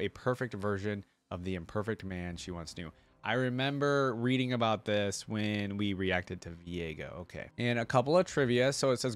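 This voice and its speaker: A man hosting a reaction video, reading aloud and commenting informally as he thinks out loud for viewers. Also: on the low side.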